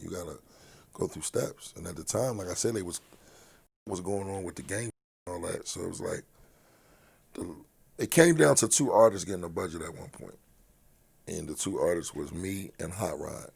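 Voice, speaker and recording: Man, big gravelly voice, husky, low, blunt and profane, from philadelphia, interview guest. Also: darkish tone. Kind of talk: casual interview talk.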